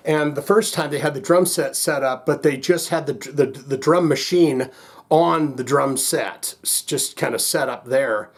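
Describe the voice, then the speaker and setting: Rambling, jokey man with a higher voice. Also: unhurried. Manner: rambling, jokey, self-interrupting. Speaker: music reaction video host. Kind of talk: casual chat to viewers.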